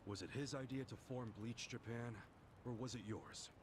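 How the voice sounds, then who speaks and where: A man's voice: mid-range voice, steady tone, moderate pace, noisy background, natural voice. A man playing a blunt, skeptical, streetwise tough with a taunting edge in a video game. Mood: skeptical, questioning. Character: blunt, mocking, streetwise male tough questioner. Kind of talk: English video game voice acting. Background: game music.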